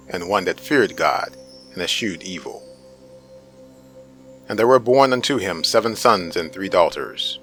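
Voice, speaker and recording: A man delivers a dramatic scripture narration. His voice is on the low side, and faint music plays behind him. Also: fairly bright tone, poor audio.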